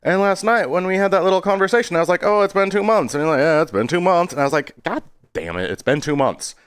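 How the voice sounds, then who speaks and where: A man's voice: high-pitched, animated, quick pace, clean tone. A man giving a casual, rambling video update in a self-deprecating way, stopping and starting and slipping into exaggerated tones.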